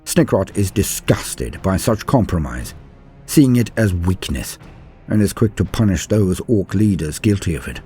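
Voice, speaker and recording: A man's voice: low voice, big pitch swings, measured pace, dark tone, faint music behind. A man narrating scripted stories as a channel host, in a theatrical, florid and formal manner. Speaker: host of a lore channel and storyteller. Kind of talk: dramatic scripted storytelling narration.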